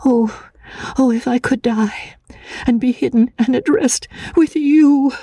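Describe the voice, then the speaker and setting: A woman voicing a fragile, anxious, childlike young woman in a dramatic audiobook, soft and husky, hesitant and pleading. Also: high-pitched, slow, no room sound, studio quality, pitched above the performer's own voice, exaggerated voice. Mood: anguished pleading. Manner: pleading, hesitant, naive, with repeated words.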